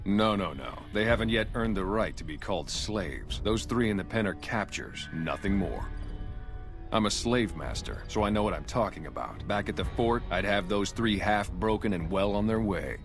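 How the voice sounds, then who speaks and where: A man's voice: fairly low pitch, animated, normal speed, soft background music. A man playing a stern, contemptuous, zealous slave master with a haughty, formal manner in a video game. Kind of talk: video game dialogue acting.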